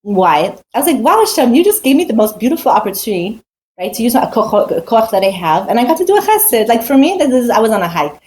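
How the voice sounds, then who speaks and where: A woman's voice: fairly high pitch, big pitch swings, quick pace, a little mellow, a little hiss or hum. An adult woman teaching a weekly Torah portion in a casual, earnest way, with frequent restarts and rhetorical questions. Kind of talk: casual spoken Torah talk.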